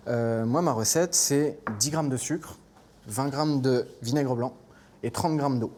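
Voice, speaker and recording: A man's voice: mid-range voice, unhurried, darkish tone, slight background noise. A man from Normandy working as a chef, explaining recipes step by step in casual, slightly hesitant chat. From Normandy, France. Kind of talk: cooking demonstration with casual chat. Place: kitchen.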